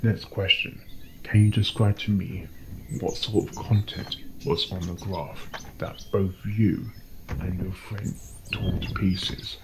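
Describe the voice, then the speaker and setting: Man playing pony detective, low pitch, measured, commanding, calm questioning, noisy recording with chirping birds. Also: vocal fry, exaggerated voice. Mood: probing. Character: adult male pony doctor-detective, firm and calm. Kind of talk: character acting in fan fiction audio reading. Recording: heavy background noise with birdsong.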